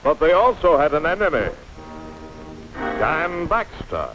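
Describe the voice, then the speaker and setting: With music behind on a muffled old film soundtrack, a pompous storybook narrator. His pitch swoops wildly as he delivers florid, mock-heroic cartoon narration. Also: sing-song, a little slow. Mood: grandly admiring. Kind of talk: scripted 1940s cartoon narration.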